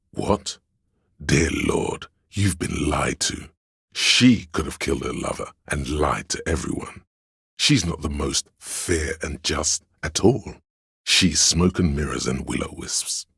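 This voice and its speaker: An actor voicing a sly, theatrical inner voice in a video game, counselling his liege in grandiose, measured, gravelly and husky narration. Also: a bass voice, fairly bright tone, dry recording, studio quality, full character voice. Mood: indignant, scornful.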